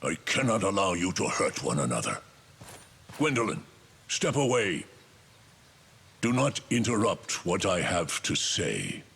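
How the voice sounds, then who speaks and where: Regal father-king, fantasy game drama, slow, solemn, commanding, expressive swings in pitch. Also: vocal fry, breathy edge, full character voice. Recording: music behind. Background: music.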